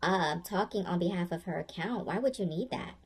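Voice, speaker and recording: A woman imitating a young female scammer who poses as a caller's granddaughter, chattering high and fast in an innocent tone. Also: expressive, husky. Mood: suspicious.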